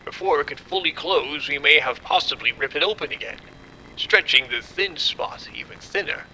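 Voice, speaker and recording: An actor playing a cold, commanding doctor in a horror audio drama, speaking gravely and deliberately as he manages a cover-up. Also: a little high, lively intonation, bright, forceful tone, slight background noise, exaggerated voice, rough recording. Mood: grave realization. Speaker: lead scientist.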